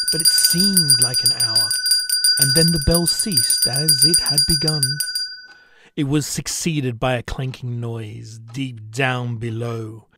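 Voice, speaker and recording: A man's voice: mid-range voice, very noisy. A man giving a scripted, dramatic storytelling narration as the narrator of an audio drama.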